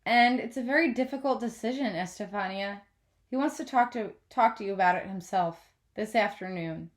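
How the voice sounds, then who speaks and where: A woman's voice: mid-range voice, normal speed, natural voice. Woman reading as a concerned but firm mother. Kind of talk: children's novel read-aloud.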